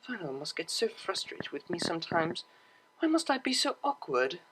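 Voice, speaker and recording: A woman's voice: on the low side, sing-song, moderate pace, background noise. A woman voicing a refined, polite young mare cellist in a pony story, awkward and earnest, with prim formal wording. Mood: apologetic, embarrassed.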